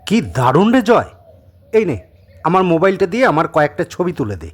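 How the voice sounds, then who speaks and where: A man's voice: a little high, sing-song, moderate pace, voice pushed up. A man voicing a polite, curious young visitor from the city in an audio drama. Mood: excited. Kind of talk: Bengali audio story acting.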